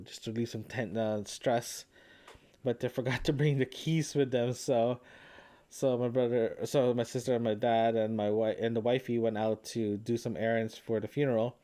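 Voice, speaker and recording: Guy doing a personal audio log, mellow soft-edged tone, under the weather and grieving, keeps stopping to find his words. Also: mid-range voice. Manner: halting, searching for words, frequent throat clearing. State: sick. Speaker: podcast host recording a personal audio log. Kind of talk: reflective solo audio diary. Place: indoors at a family home.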